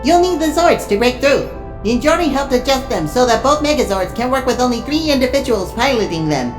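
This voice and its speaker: A woman's voice: sits high, expressive, a little fast. A woman playing a helpful robot assistant in a superhero audio drama, explaining things precisely and formally. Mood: earnest briefing. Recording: echoey room with music behind.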